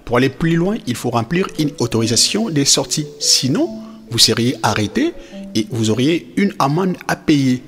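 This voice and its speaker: A man's voice: medium pitch, very animated, normal speed, a bit bright, faint music behind. A man playing a devout, proud, affectionate father who teaches his children, effusive and encouraging, repeating phrases for emphasis. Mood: instructive.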